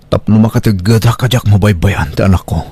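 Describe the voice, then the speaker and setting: An actor with an Ilocano accent playing a troubled, pleading single father in a radio drama, with halting delivery. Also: lowish voice, mellow, husky, no room sound. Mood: torn, resolute. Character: troubled, pleading young single father, devoted to his child.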